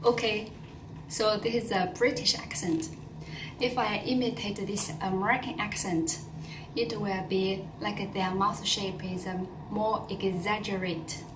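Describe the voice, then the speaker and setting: A woman's voice: medium pitch, steady tone, measured pace, distant mic. Chinese lady teaching pronunciation, lots of uh and repeats, noisy roomy sound. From China. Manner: explanatory, with many fillers and repeated phrases. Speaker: language teacher. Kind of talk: casual pronunciation lesson. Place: in Dubai.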